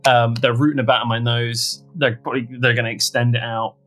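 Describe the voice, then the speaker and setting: A man's voice: mid-range voice, level tone, a little fast, fairly bright tone, soft background music. A man hosting a podcast in a casual comedic chat, rambling and joking at his own expense. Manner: jokey, rambling, self-mocking. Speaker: podcast host.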